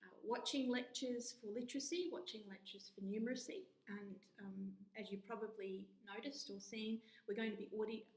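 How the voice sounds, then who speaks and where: A woman's voice: a little high, a little fast, few pauses. Australian woman lecturer, echoey room. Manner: chatty, with frequent ums and restarts. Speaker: university lecturer, module co-convener. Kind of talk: spoken course introduction.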